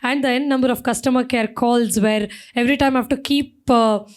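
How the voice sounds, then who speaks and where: A woman, an event emcee on a panel, speaking emphatically in a low, strong voice with many fillers. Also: steady tone, brisk. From India. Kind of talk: panel discussion answer.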